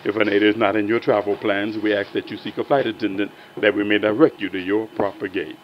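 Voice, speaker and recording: A man's voice: lowish voice, level tone, quick pace. A man making formal, practised in-flight announcements as a flight attendant over a cabin PA. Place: aircraft cabin. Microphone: cabin PA handset. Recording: muffled cabin PA, aircraft noise.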